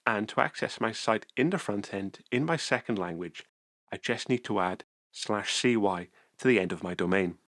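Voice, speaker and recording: Low gravelly man narrating a screencast. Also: moderate pace, no echo. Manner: calm, methodical step-by-step explaining. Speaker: software tutorial presenter.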